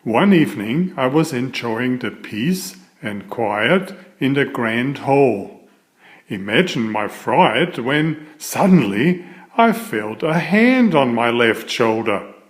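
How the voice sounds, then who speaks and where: Slow, animated man reading a storybook. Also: mid-range voice. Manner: deliberate, expressive storytelling. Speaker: author reading aloud. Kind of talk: children's picture book narration.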